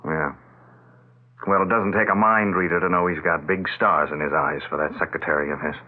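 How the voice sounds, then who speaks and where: An actor playing a skeptical, protective adult son in a 1970s radio drama, questioning and blunt. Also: male voice, deep voice, steady tone, fairly quick. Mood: indignant, urging. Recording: tinny, phone-like vintage radio broadcast.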